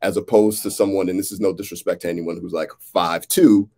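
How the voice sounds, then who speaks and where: A man with a very deep voice and a strong gravelly fry speaks on a video call. He is sincere and deliberate, pausing as he searches for words in a casual, reflective conversation. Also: steady tone, warm tone. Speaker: playwright, interviewer. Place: indoor room with dark backdrop, video call. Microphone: video call, seated back from camera.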